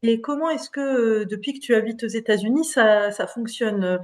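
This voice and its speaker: A woman with a somewhat high voice hosts an online discussion over a video call. Her talk is easy and loose, full of little filler sounds between phrases. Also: normal speed. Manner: relaxed, filler-heavy hosting. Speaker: host.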